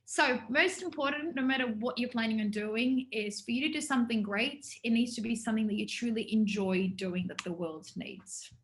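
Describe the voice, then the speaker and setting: A woman's voice: on the high side, normal speed, few pauses. A woman giving an informal business workshop to students, talking in a conversational, enthusiastic way.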